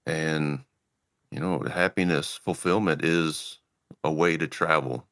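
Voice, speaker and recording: Very deep-voiced relaxed man host. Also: slow, dry recording, studio quality. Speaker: podcast host. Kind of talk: casual conversation and show outro.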